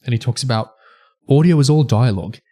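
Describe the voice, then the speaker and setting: A man co-hosting a podcast discussion, speaking haltingly, phrase by phrase. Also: mid-range voice, dark tone. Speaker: podcast co-host.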